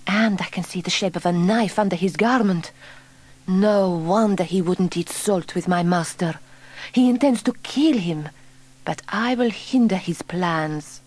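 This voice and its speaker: Husky, intent servant girl. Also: lowish voice, unhurried, darkish tone. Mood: suspicious, resolute. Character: shrewd, brave, loyal young female servant. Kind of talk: children's audio story acting. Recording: vintage cassette tape.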